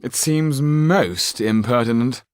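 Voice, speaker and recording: A man's voice: medium pitch, slow, clean tone, no room sound, clean studio sound, pitched above the performer's own voice, natural voice. A man voicing a haughty, pompous nobleman in an affected manner. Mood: offended.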